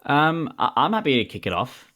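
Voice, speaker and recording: A man's voice: mid-range voice, sing-song, normal speed, sharp tone, no echo, clean studio sound. A man in his twenties with an Australian accent, chatting casually as a guest in a hesitant, laid-back, self-correcting way. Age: 20s. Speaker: podcast guest. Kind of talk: casual conversational interview.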